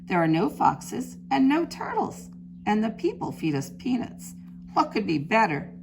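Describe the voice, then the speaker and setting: A woman's voice: mid-range voice, big pitch swings, measured pace, creaky, a little hiss or hum, low-quality recording. A woman voicing a fussy, anxious mother duck in a storybook, with an emphatic delivery. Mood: pleased, hopeful.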